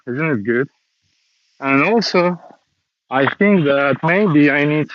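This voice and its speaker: Man vlogging on a walk: slow, halting learner English, dull dry phone audio. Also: medium pitch, no echo. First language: Russian. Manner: slow, hesitant learner English with many pauses. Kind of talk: casual walking vlog monologue. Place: outdoors, walking around a city.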